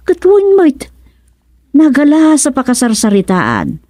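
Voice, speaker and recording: A woman's voice: high-pitched, huge pitch swings, unhurried, warm tone, no echo, clean studio sound, full character voice. A woman acting as an opinionated, chatty village secretary in an Ilocano radio comedy drama, with an Ilocano accent. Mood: disapproving.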